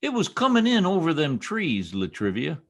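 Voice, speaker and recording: An actor playing a boastful, folksy small-town American husband in an old-time radio comedy, with cocky, slangy, ungrammatical talk. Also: male voice, fairly high pitch, huge pitch swings, moderate pace, full character voice.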